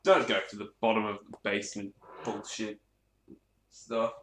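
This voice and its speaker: A man's voice: lowish voice, flat delivery, normal speed, a bit bright. A man giving a casual hands-on gear demonstration in a rambling, off-the-cuff way, with some swearing. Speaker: hobbyist presenter. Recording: echoey room, clear background noise, clicks.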